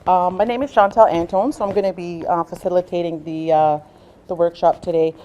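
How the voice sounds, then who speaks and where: A young adult woman from Southwestern Ontario leading a workshop, speaking loudly to a room without a microphone and often pausing on "um" and "uh". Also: on the low side, steady tone, lo-fi sound, slight background noise. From Southwestern Ontario, Canada. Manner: loud voice, unamplified, frequent ums and uhs. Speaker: workshop facilitator, nurse navigator. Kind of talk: presentation to a workshop audience.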